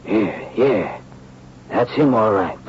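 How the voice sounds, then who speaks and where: An actor playing a nervous, defensive theater worker, halting and plain-spoken, in a radio drama. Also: male voice, on the low side, very animated. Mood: defensive confession. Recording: dull, noisy old radio recording.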